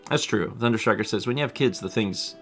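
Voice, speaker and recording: A man's voice: on the low side, quick pace, creaky, a bit bright, soft background music. A man in his thirties co-hosting a live stream, chatting casually and rambling with lots of laughter while reading viewer comments. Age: 30s. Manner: rambling, self-deprecating, laughs often. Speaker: livestream co-host.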